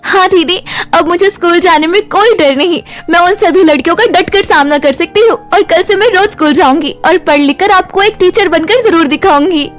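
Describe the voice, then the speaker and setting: A woman's voice: very high voice, moderate pace, faint music behind, pitched above the performer's own voice. A woman voicing a timid, sweet young schoolgirl in a children's storybook tale, earnest and wide-eyed. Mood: happy, eager.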